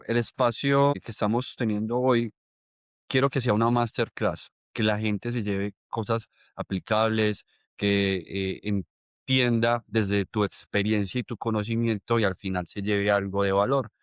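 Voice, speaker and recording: A man's voice: on the low side, fairly even intonation, measured pace, clear, resonant tone, no room sound, clean studio sound. A man hosting a podcast, reading an upbeat intro and chatting in a friendly way. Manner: upbeat, friendly, addresses listener directly. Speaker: podcast host. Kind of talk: scripted intro then casual interview.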